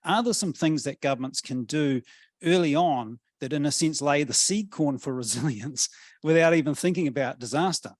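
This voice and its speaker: A middle-aged man with a New Zealand accent, giving a thoughtful panel talk with many pauses and restarts. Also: on the high side, no echo. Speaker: panel speaker, policy academic.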